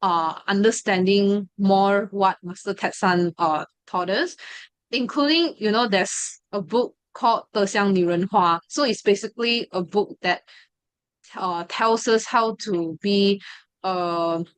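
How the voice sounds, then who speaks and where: A woman's voice: medium pitch, unhurried, clear, resonant tone, no room sound. A young woman sharing a personal testimony, hesitant and full of fillers and restarts. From Malaysia. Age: 20s. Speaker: study group member sharing her story.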